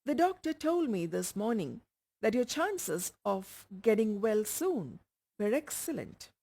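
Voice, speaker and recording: Woman as caring young storybook friend, coaxing, earnest, anxious, swooping pitch, slightly husky. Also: big pitch swings, measured pace, warm tone, heavily acted. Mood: coaxing, scolding.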